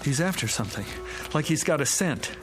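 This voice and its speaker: Actor as frantic father, very animated. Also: fairly high pitch, fairly quick, over music, lightly acted. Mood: agitated. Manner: urgent, emotional delivery. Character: frantic father whose small daughter has vanished. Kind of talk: radio drama acting.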